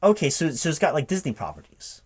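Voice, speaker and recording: A man playing a recruiting manager in a one-sided spoken interview roleplay, halting and puzzled as he repeats things back as questions. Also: a little high, huge pitch swings, darkish tone, breathy edge.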